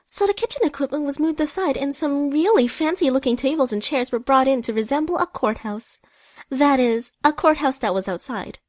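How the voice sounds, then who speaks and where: Woman reading story narration for a comedy, high pitched, bit wry, no room echo. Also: a little fast, darkish tone. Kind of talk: scripted comic storybook narration.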